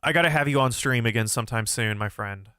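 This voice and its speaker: A man's voice: medium pitch, fast, pushed and bright, clean tone, no echo. Nasal-voiced young man, close and dry. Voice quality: somewhat nasal. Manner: thoughtful, hesitant, many fillers and restarts. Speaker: philosophy livestream host. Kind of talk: casual livestream Q&A, answering chat.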